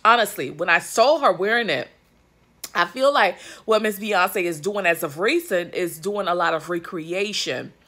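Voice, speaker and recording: A woman's voice: lowish voice, moderate pace, pushed and bright. A woman in her thirties giving emphatic, chatty commentary to her viewers from her couch, with plenty of fillers and asides. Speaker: vlogger. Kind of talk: casual commentary to camera. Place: living room, on a couch indoors. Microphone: phone or webcam at arm's length.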